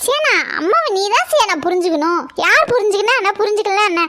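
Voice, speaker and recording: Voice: very high voice, very animated, fast talker, bright, forceful tone, full character voice. A performer voicing a bossy, harried mother who nags and coaxes, in a comedy skit. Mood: coaxing. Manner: nagging, coaxing, protesting. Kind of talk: family comedy skit acting.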